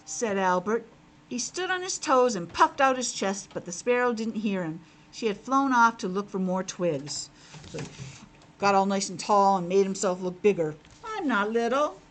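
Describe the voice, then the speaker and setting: A woman's voice: mid-range voice, normal speed, slight background noise, poor audio. A woman teacher reading a picture book aloud to young children, expressively, with simple asides to her listeners.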